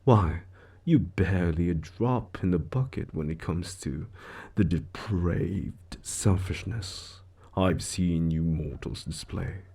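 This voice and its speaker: A man's voice: low voice, slow, creaky, mellow, slightly rough, exaggerated voice. A man voicing a suave, gloating devil lord in an audio roleplay, theatrical and condescending, savoring his words as he toys with a mortal. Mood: smug, boastful. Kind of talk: scripted audio roleplay monologue.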